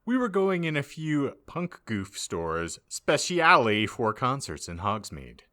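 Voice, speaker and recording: A man's voice: medium pitch, huge pitch swings, takes it slowly, pushed and bright. Theatrical man reading with big swings. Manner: theatrical, heavily emphatic, swinging in volume. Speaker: podcast host reading aloud. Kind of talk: mock-dramatic comedic reading of fan fiction.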